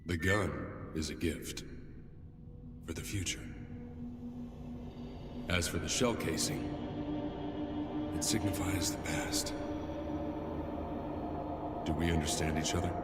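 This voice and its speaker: An actor playing a grave, solemn leader of a free ex-cult faction in a video game cutscene, speaking in a measured, deliberate way. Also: male voice, low voice. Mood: grave, resolute. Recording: echoey, loud music behind.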